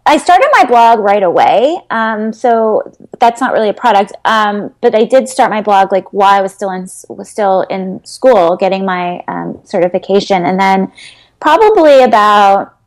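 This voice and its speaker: A woman's voice: mid-range voice, animated, normal speed, low-quality recording. Earnest woman coach, rambling answers. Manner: earnest, rambling, many ums and restarts. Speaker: health coach guest. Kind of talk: casual interview answers.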